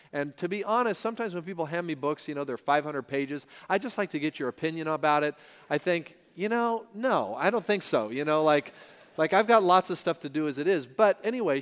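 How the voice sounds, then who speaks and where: A man hosting a church service interview, telling stories in a chatty, animated way with lots of fillers. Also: fairly high pitch, smooth, long reverb tail. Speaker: pastor interviewing a guest. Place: church sanctuary with congregation.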